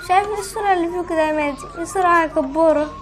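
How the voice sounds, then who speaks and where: High-pitched, very fast Egyptian woman gamer. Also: background noise. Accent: Egyptian Arabic. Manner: whiny, pleading, competitive. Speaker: gaming channel host. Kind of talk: casual bantering gameplay commentary.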